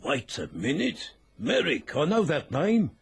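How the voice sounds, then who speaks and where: A matey working man in a radio play. His voice is a little high, with plain, easygoing delivery over faint background noise. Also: huge pitch swings, unhurried, gravelly, heavily acted. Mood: wry. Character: easygoing, out-of-work male steeplejack. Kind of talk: radio drama acting.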